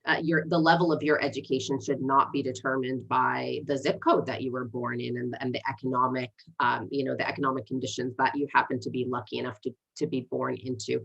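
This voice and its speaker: Low bright-voiced woman in her 40s, english is her second language, earnest candidate explaining herself on a livestream. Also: level tone, brisk, creaky, few pauses, breathy edge, lo-fi sound. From born in Egypt, raised in Canada and the United States. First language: not English, learned it as a second language. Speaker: city council candidate. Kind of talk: self-introduction in a livestream interview.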